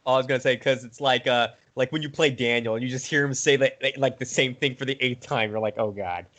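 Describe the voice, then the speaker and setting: A man's voice: medium pitch, a little fast, fairly bright tone. A man conducting a casual interview in an informal, slangy, fannish way.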